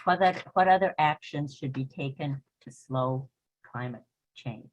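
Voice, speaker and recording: A woman moderating a public panel, reading submitted questions aloud in a measured, formal way. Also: a bass voice. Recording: dull, muffled meeting audio.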